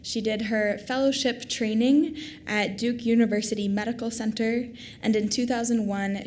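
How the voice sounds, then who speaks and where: A woman's voice: medium pitch, darkish tone, slight background noise. Young woman nervously introducing a speaker. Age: 20s. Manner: reads with nervous fillers. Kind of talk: formal introduction. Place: lecture hall.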